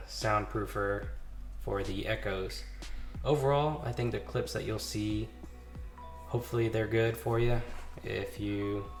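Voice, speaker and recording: A man with a lowish voice, still hoarse from being sick, talks casually through his purchases at a garage bench. He keeps it easy and unhurried, with the odd "uh" and "um". Faint music runs in the background. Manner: relaxed, talks through items with fillers. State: hoarse, recovering from illness. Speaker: channel host, knife hobbyist. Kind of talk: casual vlog show-and-tell. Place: home garage workbench.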